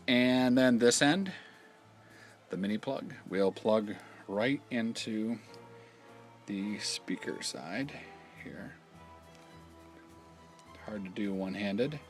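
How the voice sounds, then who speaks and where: A man giving an informal, step-by-step product demonstration, explaining in a halting way as he goes. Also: medium pitch, fairly bright tone. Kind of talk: hands-on instructional demonstration.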